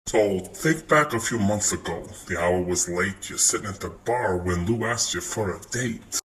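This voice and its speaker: A man's voice: low voice, moderate pace, a bit bright. A man playing a hardboiled noir detective, telling his case in wry, dramatic rhyme. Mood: world-weary. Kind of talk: rhyming film-noir parody narration. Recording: noisy homemade phone clips.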